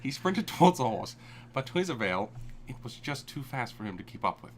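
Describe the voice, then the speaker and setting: A man's voice: mid-range voice, sing-song, fairly quick, slight background noise. A man reading fan fiction aloud for laughs on a live stream. He reads dramatically, then breaks off to laugh and swear. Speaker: fanfic reader. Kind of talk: comedic live fanfic reading.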